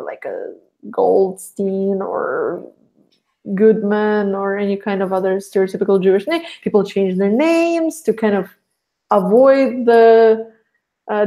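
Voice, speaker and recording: A woman's voice: medium pitch, a little mellow. Rambling woman from Russia, chatty. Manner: rambling, with many restarts and fillers. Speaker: podcast guest. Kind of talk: casual podcast conversation.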